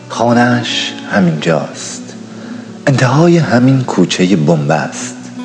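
A man giving a poetic radio drama introduction in solemn, dramatic short phrases. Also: low-pitched. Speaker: narrator.

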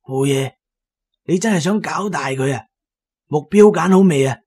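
An actor with a Cantonese accent playing a shrewd, talkative antique dealer in a radio drama, expounding knowingly and with emphasis. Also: male voice, on the high side, normal speed, darkish tone, husky. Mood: intrigued.

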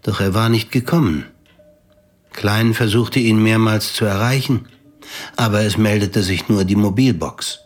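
A man narrating a literary radio drama in a measured, deliberate, scripted storytelling manner. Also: on the low side.

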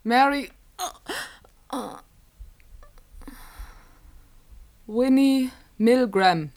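A woman's voice: on the high side, slow pace. An actress playing a brainwashed, submissive woman in a 1960s radio play. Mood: compliant. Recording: loud background noise and ambience.

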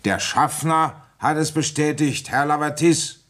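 A man's voice: on the high side, steady tone, a little slow, a bit bright. An actor in a German radio drama playing an avuncular, ambitious police commissioner in his fifties who has a feverish cold and talks bluntly and coaxingly. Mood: coaxing, firm. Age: middle-aged. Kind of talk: German radio drama acting and first-person narration.

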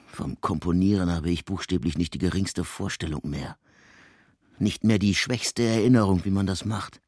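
Husky, despairing male composer. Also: deep voice, moderate pace, a little mellow, no room sound, studio quality. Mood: bleak despair. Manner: formal, brooding, bleak. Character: despairing, ailing male composer, bitter and weary. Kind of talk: literary radio drama acting.

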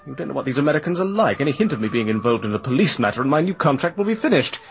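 A defensive, irritable novelist in a radio drama, indignant and evasive under questioning. His voice moves up and down with lively inflection, and faint music plays behind. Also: medium pitch, very fast.

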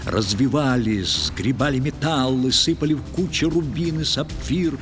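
A man narrating a verse tale in an audio play, with theatrical, expressive recitation. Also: medium pitch, normal speed. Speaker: actor-narrator. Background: music.

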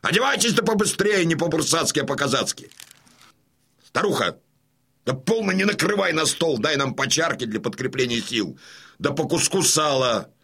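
Husky, commanding old Cossack colonel. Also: male voice, on the high side, lively intonation, moderate pace, bright, forceful tone, full character voice. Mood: brisk, commanding. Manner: bluff, imperious, emphatic. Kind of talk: Russian audio drama acting.

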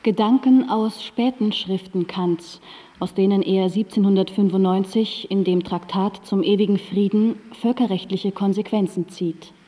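A woman's voice: medium pitch, fairly even intonation, moderate pace, dark tone. A woman narrating a scripted radio documentary drama in a composed, factual way. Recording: long hall-like reverb on cassette.

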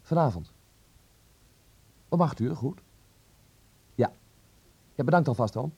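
An actor playing a polite, hesitant seventeen-year-old schoolboy in a Dutch radio drama, halting, with a husky voice. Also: male voice, mid-range voice, expressive, a little mellow, heavily acted. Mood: polite, nervous.

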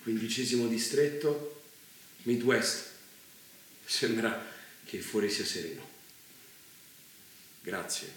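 An actor playing a wary, deferential guest in a dystopian stage drama, speaking haltingly and apologetically. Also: male voice, fairly low pitch, expressive, a little hiss or hum. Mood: guarded, reporting. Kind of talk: Italian theatre dialogue. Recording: very echoey theatre performance.

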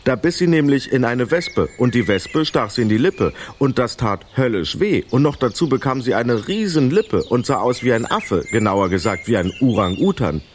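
Expressive male storyteller with lively intonation. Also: medium pitch, moderate pace, hardly any pauses. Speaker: narrator. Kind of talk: scripted storytelling in children's radio play.

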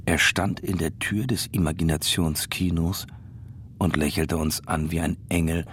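Deep-voiced, wary private detective. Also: male voice, steady tone, unhurried, fairly bright tone, slight background noise. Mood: suspicious, reflective. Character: wary, world-weary male private detective in space. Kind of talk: noir radio drama narration.

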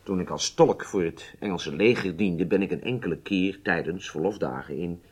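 An actor playing a polite, modest spy recruit in a radio drama, with a husky voice. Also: male voice, sits low, normal speed. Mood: honoured, attentive.

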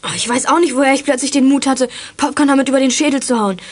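A lively girl detective in a youth radio play. She speaks fast in a very high voice, open and candid. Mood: excited, candid. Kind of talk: 1980s German youth radio play acting.

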